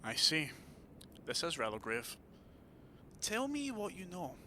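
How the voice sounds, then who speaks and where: A man's voice: on the high side, huge pitch swings, slow pace, pushed and bright, a little hiss or hum, heavily acted. A man playing an authoritative vampire prince in an audio drama, formal, courteous and imperious. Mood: grave.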